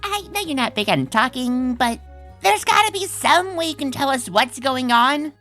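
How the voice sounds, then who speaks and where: Brash, loyal cartoon pony heroine played by a woman; bright, forceful voice with very animated pitch, music underneath. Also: normal speed. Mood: pushy, encouraging. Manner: brash, emphatic. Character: brash, loyal, fiery female pony racer friend. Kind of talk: comic dub character acting.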